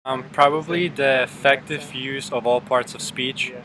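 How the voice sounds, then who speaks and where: A man's voice: medium pitch, a little slow, a crowd in the background, rough recording. A young man whose first language is Polish, answering interview questions casually and hedging with "um" and "like" as he goes. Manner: relaxed, hedging with "um" and "like". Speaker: student interviewee.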